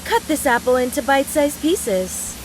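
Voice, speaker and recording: A woman's voice: sits high, expressive, moderate pace, slight background noise, poor audio. A woman voice-acting a brash, mischievous young woman fighter from a video game, teasing in a playful, folksy, mock-serious way.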